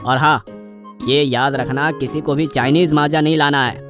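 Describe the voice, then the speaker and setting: A man's voice: on the high side, fairly even intonation, moderate pace, music in the background, lightly acted. A man playing a polite neighbour who explains things courteously in a children's cartoon. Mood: polite, informative. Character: polite neighbour man announcing a society kite contest.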